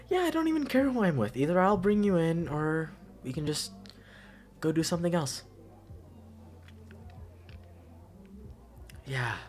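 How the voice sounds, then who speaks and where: Man acting as animated, rambling young best friend. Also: on the high side. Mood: reassuring, tender. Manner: rambling, halting, repeats himself, slangy. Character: young male best friend at a party, affectionate, goofy, reassuring. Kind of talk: intimate ASMR roleplay acting, casual.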